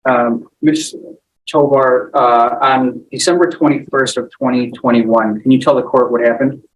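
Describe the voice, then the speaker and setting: A man's voice: mid-range voice, steady tone, unhurried. State's attorney, man, formal hesitant questioning, slightly off-mic courtroom recording with faint noise. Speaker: prosecutor. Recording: distant, faintly noisy courtroom audio.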